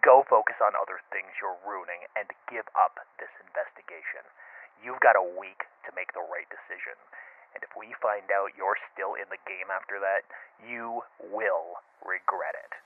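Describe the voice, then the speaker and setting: A man's voice: sits low, dry recording. An actor playing an anonymous male caller who coldly threatens over a tinny phone line. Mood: menacing. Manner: cold, threatening, slow deliberate warnings.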